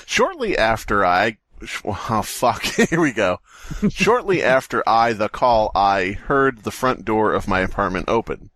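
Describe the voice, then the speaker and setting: A man's voice: on the low side, big pitch swings, measured pace, fairly bright tone. A man co-hosting a podcast, chatting casually and reading a story aloud in a joking, sarcastic, sweary way. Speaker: podcast co-host. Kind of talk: casual comedic chat and mocking story read-aloud.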